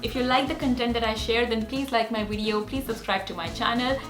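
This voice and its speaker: A woman's voice: a little high, fairly quick. A woman whose first language is Hindi, speaking English with a Hindi accent as she teaches speech exercises in an upbeat, earnest way. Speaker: actor and speech coach. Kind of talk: casual teaching vlog. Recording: echoey room with faint music.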